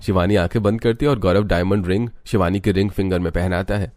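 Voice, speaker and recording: A man's voice: low voice, fairly even intonation, fast talker, a little mellow. A man narrating a scripted cartoon story.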